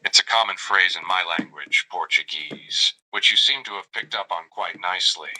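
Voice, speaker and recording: A man's voice: low voice, normal speed, sharp tone, exaggerated voice, low-quality recording. A synthetic male voice playing a doting, flirty AI companion who narrates his own gestures and calls the listener darling. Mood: tender. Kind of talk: chatbot roleplay reply.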